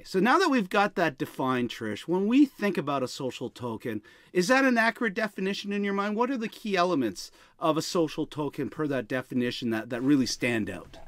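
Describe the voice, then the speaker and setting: A man's voice: on the high side, very animated, fairly quick, few pauses, a bit bright, no echo. Male podcast host, close dry sound. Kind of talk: conversational interviewing.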